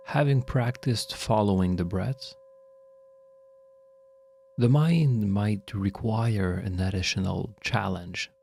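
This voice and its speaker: A man leading a guided meditation as a guide, speaking in a calm, measured, instructional way with long pauses. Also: on the low side, vocal fry.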